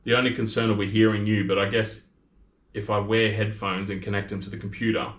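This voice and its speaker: A man's voice: lowish voice, flat delivery, a little fast, a bit bright. Chatty Australian man in an echoey room. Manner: chatty, rambling, reads out viewer comments. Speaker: maker hosting a livestream. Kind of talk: casual livestream chat. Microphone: camera audio.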